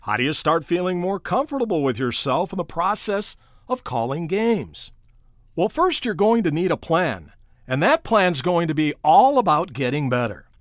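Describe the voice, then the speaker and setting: An American man in middle age presents a coaching podcast with a sportscaster's emphatic delivery. His voice sits a little high and moves with lots of melodic variety. The sound is very dry, with no room around it, and he addresses the listener directly. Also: very animated, moderate pace, no room sound. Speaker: podcast host and sports play-by-play broadcaster. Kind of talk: prepared instructional podcast narration with personal anecdotes.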